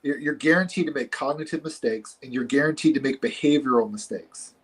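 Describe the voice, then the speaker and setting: A man's voice: mid-range voice, brisk, husky. A man in his forties, a counselor, explaining ideas in a casual conversation with a reflective, hesitant manner. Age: 40s.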